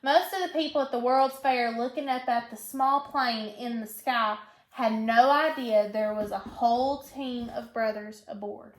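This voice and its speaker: A woman teacher reading a storybook aloud in an instructional way, pausing to put questions to her pupil and repeat answers. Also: a little high. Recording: echoey room.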